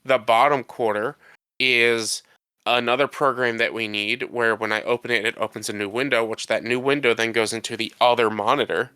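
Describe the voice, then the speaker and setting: Man with a stuffy nose from a cold, clear forceful tone, dry sound, rambling and defensive. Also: mid-range voice, fairly even intonation, moderate pace, no echo. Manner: rambling, defensive, restarts often. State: congested from a cold. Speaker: podcast co-host. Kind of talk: casual chat.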